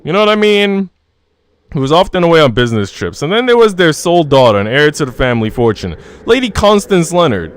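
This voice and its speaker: A man's voice: on the high side, very animated, a little fast, clean tone. A man live streaming in a joking, teasing, slangy way, switching between casual chat and reading story narration aloud. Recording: background music under voice. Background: music.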